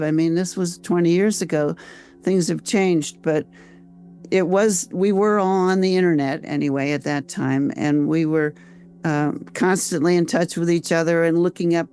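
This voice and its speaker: An older woman with an American accent, telling her own story conversationally as an interview guest, reflective and halting, repeating phrases as she goes. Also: lowish voice, a little mellow. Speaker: interview guest, author and volunteer researcher.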